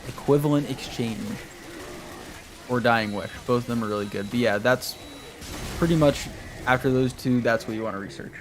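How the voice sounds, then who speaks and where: A man's voice: medium pitch, normal speed, long pauses, noisy background. A young man streaming a game, chatting casually with his viewers in a rambling way while he plays. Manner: rambling, talks to chat while playing.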